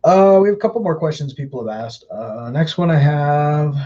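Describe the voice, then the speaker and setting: Husky-voiced man giving earnest advice. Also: on the high side, lively intonation, moderate pace, dark tone, muffled recording. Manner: earnest, advising, repeats words often. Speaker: host answering viewer questions. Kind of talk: live Q&A, casual explaining.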